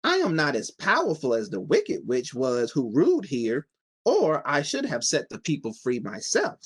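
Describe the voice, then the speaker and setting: A man voicing a kindly little old woman, a good witch in a storybook, who explains things with gentle assurance. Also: medium pitch, huge pitch swings, moderate pace, breathy edge, pitched below the performer's own voice, exaggerated voice. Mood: assured.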